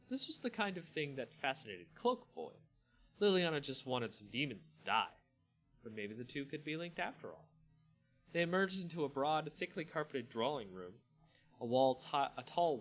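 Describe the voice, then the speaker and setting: A man hosting and narrating a dramatic fantasy story reading with an expressive, theatrical delivery. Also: medium pitch, low-quality recording. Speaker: channel host and story narrator.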